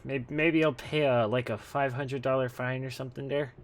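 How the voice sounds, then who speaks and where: A man playing an awkward, easily underwhelmed police chief in a cartoonish comedy audio play, speaking in a halting, hesitant way. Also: mid-range voice, fairly even intonation, a crowd in the background, natural voice. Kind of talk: comedic audio drama acting.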